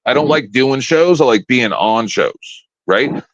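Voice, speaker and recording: A man's voice: mid-range voice, lively intonation, unhurried, no echo. A man in his fifties, a panel guest on a live stream, ranting in a blunt, profane, confrontational way from home. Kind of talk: casual live-stream rant. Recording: dull, lo-fi, dry stream audio.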